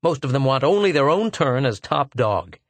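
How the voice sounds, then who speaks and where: A man's voice: medium pitch, animated, normal speed, no room sound, pitched above the performer's own voice, lightly acted. A man doing a blunt, impatient archaeologist. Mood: exasperated. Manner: blunt and exasperated. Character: frank, impatient male archaeologist.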